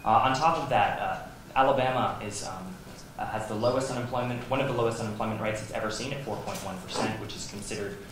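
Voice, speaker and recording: Low-voiced student debater in an echoey hall. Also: male voice, fast talker. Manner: earnest, with many fillers and restarts. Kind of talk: debate argument. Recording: echoey hall with faint noise.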